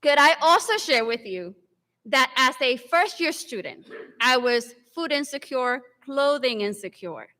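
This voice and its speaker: A woman in her forties, from the Dominican Republic, giving an earnest convocation speech with measured, deliberate pauses. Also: high voice, expressive, sharp tone. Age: 40s. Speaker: alumni speaker. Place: large hall. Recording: long hall reverb.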